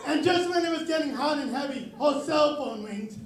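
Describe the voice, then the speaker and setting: A young man with an extremely high, raspy voice works a stand-up set, deadpan and self-mocking. He is recorded on a handheld mic in an echoey comedy club. A crowd laughs behind him. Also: moderate pace, noisy background. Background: audience laughter.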